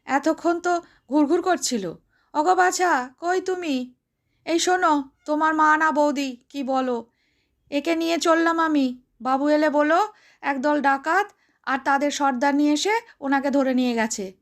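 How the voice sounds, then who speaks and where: A bossy, stout older woman, as a female storyteller plays her, with a high voice and a sharp tongue. She scolds brashly in homely colloquial speech, ordering people about with rough affection. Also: unhurried, long pauses. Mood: impatient, commanding.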